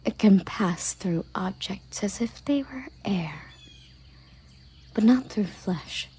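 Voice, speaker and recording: A woman voicing a dutiful, formal elven guard captain in a breathy, measured ASMR roleplay whisper. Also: medium pitch, animated, mellow, exaggerated voice. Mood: calm, explanatory. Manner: formal, measured, matter-of-fact.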